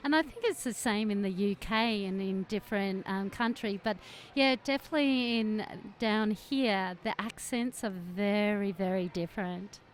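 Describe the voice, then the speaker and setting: A woman's voice: medium pitch, moderate pace. An Australian woman, a travel journalist, chats as a guest in a radio interview. She rambles with plenty of fillers and restarts. There's a faint hiss of background noise on the recording.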